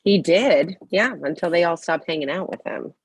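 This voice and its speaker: Woman acting a breezy, husky-voiced needling mom. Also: fairly low pitch, lively intonation, moderate pace. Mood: chatty. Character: blithely judgmental, chatty middle-aged mother. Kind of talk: comedy script table read.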